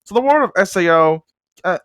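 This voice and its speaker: A man's voice: sits high, expressive, brisk, a bit bright, dry recording, studio quality. A young man hosting a casual comedic anime commentary, rambling with self-deprecating jokes muttered under his breath. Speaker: host.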